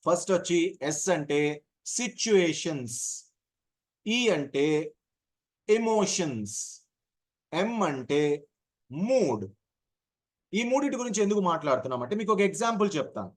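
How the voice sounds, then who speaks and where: A man's voice: fairly high pitch, sing-song, a little slow, pauses often. A man gives an informal lesson. He explains through everyday examples, poses questions and answers them himself, repeating points for emphasis. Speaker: voice modulation trainer.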